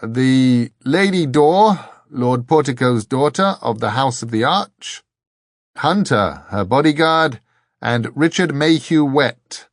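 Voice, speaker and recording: A beaten but dignified monk in an audiobook fantasy, acted by a male narrator. He announces in a formal, ceremonious way, pitched above the reader's narration, with a dry sound. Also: expressive, slow, no echo.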